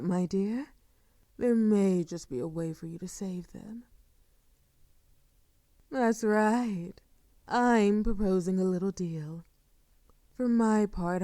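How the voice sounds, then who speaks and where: A woman playing a seductive, sadistic vampire lord in an intimate audio roleplay, with soft murmurs and a theatrical, condescending, drawn-out delivery. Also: mid-range voice, no echo, studio quality, close to an everyday voice.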